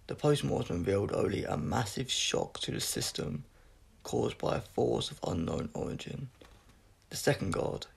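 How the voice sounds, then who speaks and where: A man reading a science fiction story aloud as scripted narration, in a gravelly, husky voice with an unpolished, amateur delivery. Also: lowish voice. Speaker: audiobook reader.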